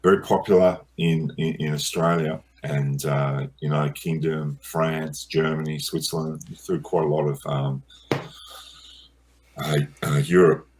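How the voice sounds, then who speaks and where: A man's voice: rumbling low, flat delivery, a little slow, darkish tone, slight background noise. A man answering questions about his documentary as its director, in a hesitant way full of ums and repeated words. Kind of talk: interview answers.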